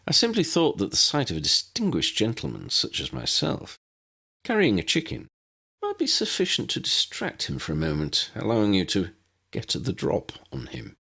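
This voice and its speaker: An unflappable older gentleman of a storybook adventure, as a male narrator puts him on. He speaks formally and dryly, with courteous self-assurance and a widely swinging melody. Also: fairly low pitch, huge pitch swings, moderate pace, vocal fry, breathy edge, pitched above the performer's own voice, full character voice. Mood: dryly amused.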